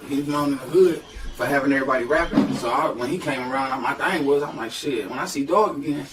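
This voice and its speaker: A man with a Detroit accent, a guest rapper telling stories in slangy, animated casual podcast talk. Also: mid-range voice, fast talker, echoey room, recorded from a distance, noisy background. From Detroit, USA.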